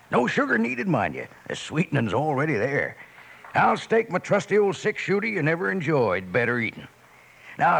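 An actor playing a jolly, folksy American cowboy pitchman with a gravelly voice in a 1950s radio commercial. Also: fairly bright tone, exaggerated voice. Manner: folksy, chummy. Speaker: advertising pitchman.

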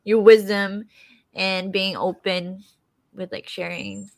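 A woman hosting a podcast, bubbly and giggly, chatting in an unhurried way. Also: mid-range voice, little pitch movement, creaky, dry recording, rough recording. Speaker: podcast host. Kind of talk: casual chat.

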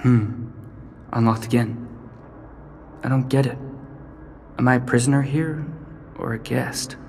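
An actor playing an anxious but determined teenage boy in an audio drama, earnest and a little hushed. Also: medium pitch, steady tone, measured pace, natural voice. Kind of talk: audio drama monologue and dialogue. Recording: long reverb, faint background noise.

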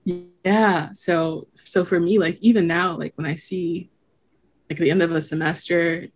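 A woman's voice: fairly low pitch, fairly even intonation, measured pace. A young woman from Haiti with no trace of an accent, talking reflectively as a podcast guest, with many "like" fillers and frequent laughter. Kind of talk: casual conversation.

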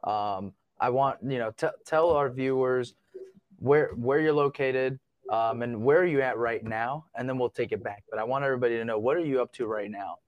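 An upbeat man co-hosts a casual podcast and talks loosely, with plenty of fillers. His voice sits at a middle pitch, and the recording is close and dry, with no room sound. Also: normal speed, dry recording, dull audio. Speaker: podcast co-host.

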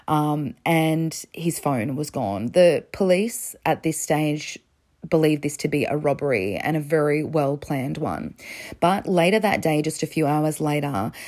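A woman's voice: deep voice, darkish tone. A young woman casually recounting a true crime case in a chatty, conversational way, with frequent fillers.

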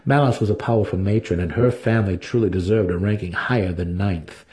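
A man's voice: sits low, a little fast, a little mellow. A man narrating a fantasy novel as a dramatic audiobook reading.

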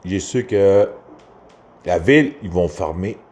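A man with a Québécois accent and a slightly low voice that swings widely in pitch. He rambles through a casual, profane rant, hesitating as he goes. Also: animated, takes it slowly, a little mellow, muffled recording. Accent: Québécois French. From Quebec.

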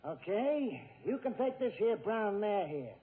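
A man's voice: high-pitched, very animated, a little slow. A man playing a folksy, plain-spoken stable keeper in a 1940s radio comedy, colloquial and reassuring. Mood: obliging. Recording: muffled vintage 1940s radio recording.

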